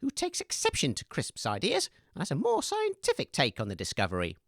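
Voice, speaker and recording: A woman's voice: low voice, wildly swooping pitch, brisk, dry recording, studio quality, exaggerated voice. A woman playing a showy, eager debate host, announcing theatrically in a comedy audio show. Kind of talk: comedy audio sketch hosting.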